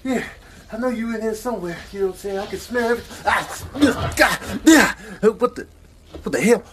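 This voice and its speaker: A man's voice: high voice, lively intonation, brisk, a crowd in the background. A man voicing a playful, exasperated animal in a comedic voiceover, streetwise and repetitive.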